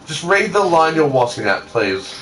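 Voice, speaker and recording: An animated co-reader whose pitch jumps around a lot. The delivery is casual, comedic banter, sweary and quick to interject. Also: heavy background noise.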